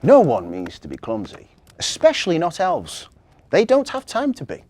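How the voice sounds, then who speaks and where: Male story reader: slightly high, breathy-husky voice, hugely varied intonation, children's storytelling. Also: wildly swooping pitch, moderate pace, a little mellow.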